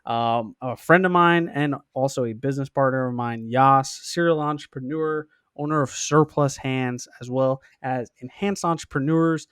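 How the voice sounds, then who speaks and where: A man's voice: mid-range voice, moderate pace, hardly any pauses, a bit bright, smooth, no echo, studio quality. A young man hosting a podcast in a casual, chummy, slangy interview style. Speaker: podcast host.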